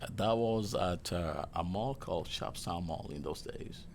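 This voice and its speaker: A man in his fifties with a Nigerian accent, a guest chef telling relaxed stories full of fillers. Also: low voice, measured pace, vocal fry, slight background noise. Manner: relaxed, chuckling anecdotes with many fillers. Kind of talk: casual interview storytelling.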